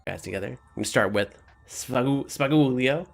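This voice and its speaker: A man's voice: medium pitch, normal speed, creaky, faint music behind. A man narrating a tabletop game as game master, easygoing and encouraging with his players.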